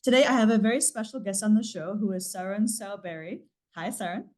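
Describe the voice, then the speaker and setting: A woman podcast host who talks very quickly at a medium pitch. Her delivery is upbeat and emphatic, full of enthusiasm as she interviews a guest. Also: a little mellow.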